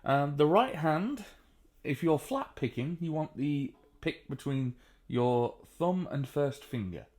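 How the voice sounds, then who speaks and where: A man with a baritone voice, sitting on a sofa at home and casually explaining how to play the banjo, with plenty of ums and uhs. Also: lively intonation, a little mellow. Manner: chatty, unhurried, frequent ums and uhs. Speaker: banjo teacher. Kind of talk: relaxed spoken music tutorial.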